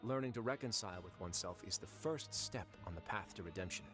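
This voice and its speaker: An actor playing a composed male prison warden in a game, speaking in a calm, measured, lightly wry way. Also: low-pitched, faint music behind.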